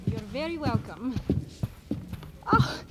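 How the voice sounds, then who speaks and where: An actress playing a polite, effusive office assistant in an audio drama, polished and courteous. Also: female voice, high-pitched, expressive, takes it slowly, heavy background noise.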